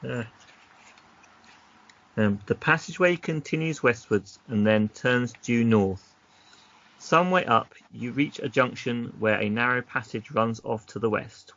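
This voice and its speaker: Man, gravelly voice, game master, unhurried narration with casual asides, dull lo-fi recording. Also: mid-range voice, expressive, fairly bright tone. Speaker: game master reading a gamebook aloud. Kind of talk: reading gamebook narration mixed with casual chat.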